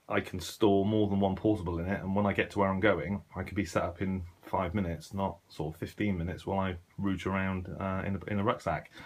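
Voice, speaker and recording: A British man with a low-pitched voice talks casually through a hobby video. He is unscripted and conversational, often hesitating and rambling as he explains his kit. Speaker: shortwave radio hobbyist presenting his gear.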